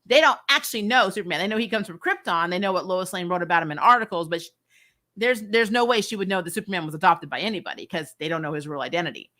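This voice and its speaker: A woman host giving a casual, chatty recap and review, retelling things in an animated way with frequent asides. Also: fairly low pitch, fast talker. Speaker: YouTube host reviewing TV episodes.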